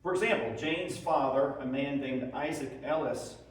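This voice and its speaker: A man presenting history in a public lecture, explaining in a measured, hesitant way. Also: mid-range voice. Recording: echoey hall, distant microphone.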